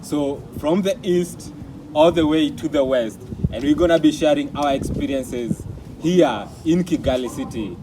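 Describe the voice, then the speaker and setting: A man's voice: on the high side, a little slow, background noise. A man hosting a vlog, presenting formally and interviewing his guests. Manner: formal, announcer-like delivery. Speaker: vlog host.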